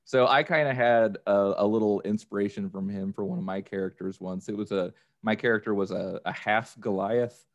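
Gravelly, lowish-voiced man chatting casually. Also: fairly even intonation, moderate pace, hardly any pauses, no echo, lo-fi sound. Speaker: podcast guest, audio drama actor. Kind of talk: casual chat.

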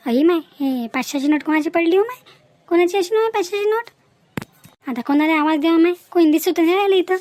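Cartoon comedy role: female actor as scolding, talkative village housewife, piercingly high, Varhadi Marathi accent, dry recording. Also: darkish tone, dry recording. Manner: chatty, repetitive, exclaiming.

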